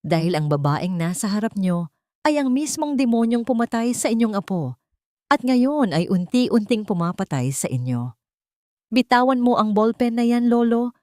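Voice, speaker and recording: A woman's voice: fairly high pitch, darkish tone, no room sound, clean studio sound, voice pushed up, lightly acted. Woman playing a commanding, vengeful young heiress. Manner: emphatic, authoritative.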